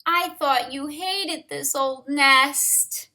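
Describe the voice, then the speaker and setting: A woman's voice: sits high, very slow, pushed and bright, full character voice. A woman voicing a distraught, devoted little male bird from a storybook, in a plaintive, exclaiming manner. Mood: astonished.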